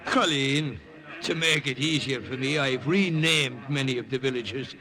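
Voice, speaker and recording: An actor playing a genial, kindly Irish missionary priest in an old radio drama, with a lilting manner. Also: male voice, medium pitch, measured pace, music in the background, natural voice. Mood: genial, hearty. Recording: vintage radio recording.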